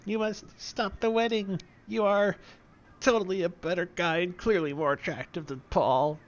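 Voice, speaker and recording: A man voicing a goofy, excitable sidekick with exaggerated, drawn-out comic exclamations in a dramatic comedy reading. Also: high-pitched, muffled recording, voice pushed up, close to an everyday voice. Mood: dying, pleading.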